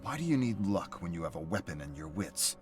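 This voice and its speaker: A man's voice: deep voice, sing-song, brisk. A man reading scripted story narration as the narrator of a game's lore. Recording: faint music under the narration. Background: music.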